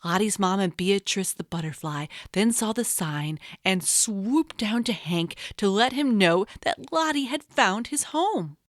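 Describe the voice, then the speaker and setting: Lady reading a kids story, super expressive, slow, really dry sound. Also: medium pitch, no room sound. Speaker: children's storyteller. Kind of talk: scripted storybook narration for children.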